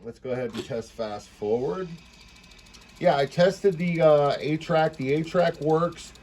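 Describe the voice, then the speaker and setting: A man giving a casual, unscripted product demonstration, talking informally and haltingly as he thinks aloud. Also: fairly high pitch, darkish tone. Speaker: presenter demonstrating vintage audio equipment.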